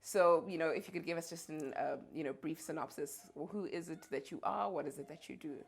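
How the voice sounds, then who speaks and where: A woman with a South African accent hosting a podcast, lively and quick to laugh. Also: low voice, creaky, slight background noise. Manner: lively, laughing, friendly interjections. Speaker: podcast host. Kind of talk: casual podcast chat.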